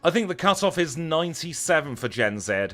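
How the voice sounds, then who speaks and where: A man's voice: on the high side, big pitch swings, brisk, a bit bright, soft background music. A man with a Lancashire accent chatting casually as he streams a video game, wry and self-deprecating. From Lancashire, northern England. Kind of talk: casual livestream chat over gameplay.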